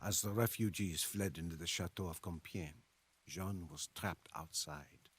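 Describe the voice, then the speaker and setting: An actor playing a weary, devoted French soldier, narrating a war journal for a game in a solemn, dramatic, gravelly voice. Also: male voice, sits low, a little hiss or hum. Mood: grieving. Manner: solemn, dramatic, measured delivery. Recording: loud music under the voice.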